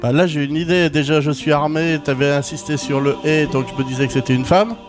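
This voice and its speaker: A man's voice: fairly high pitch, fairly even intonation, brisk, over music. A man bantering casually and jokingly as a contestant in a quiz.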